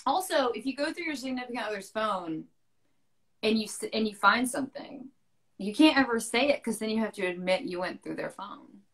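A young woman chatting casually on a livestream video call, bubbly and quick to laugh, speaking into a phone held at arm's length. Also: a little high, expressive, a bit bright. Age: young adult. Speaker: livestream co-host. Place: bright room indoors.

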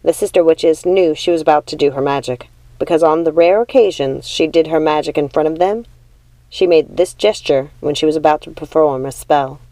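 Lady reading storybook narration, lowish pitch, no room echo. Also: fairly quick, mellow. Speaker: audiobook reader.

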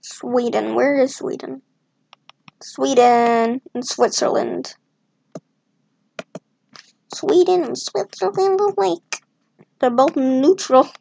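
A woman's voice: high-pitched, takes it slowly, vocal fry, long pauses, darkish tone, a little hiss or hum. A woman talking to herself in casual, rambling commentary as she draws a map on screen, with jokey asides. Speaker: map-making video creator. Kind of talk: casual commentary while drawing.

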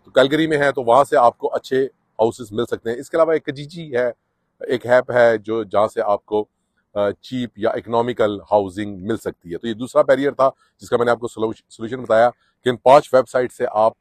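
A man's voice: mid-range voice, steady tone, brisk, no room sound. A middle-aged man talks to camera on a sunny residential street, giving earnest advice to newcomers with frequent filler sounds. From Pakistan or India. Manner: earnest, advising, with many filler "uh"s. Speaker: immigration advice vlogger. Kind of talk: casual explanatory vlog to camera.

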